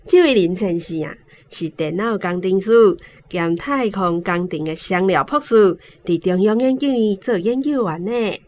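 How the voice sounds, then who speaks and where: Chatty Taiwanese matchmaking auntie, played by an actress. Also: female voice, medium pitch, animated, measured pace, few pauses, darkish tone, close to an everyday voice. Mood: proud. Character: chatty matchmaking auntie proudly presenting a suitor. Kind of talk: radio drama acting.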